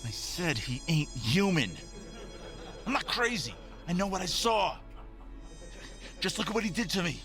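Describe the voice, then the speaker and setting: Man acting as high-ish, blustering crooked detective. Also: big pitch swings, unhurried, pauses often, a bit bright, faint music behind, exaggerated voice. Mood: rattled, insistent. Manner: defensive, blustering, colloquial. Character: shady, defensive, rattled police detective, streetwise tough guy. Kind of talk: audio drama acting.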